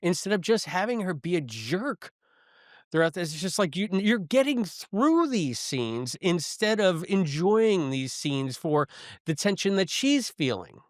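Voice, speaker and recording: A man co-hosting a podcast, casually reviewing and discussing, with animated, halting delivery and emphatic repetition. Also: high voice, dry recording, clean studio sound. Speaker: podcast co-host. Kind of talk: casual review discussion of a sci-fi audio drama.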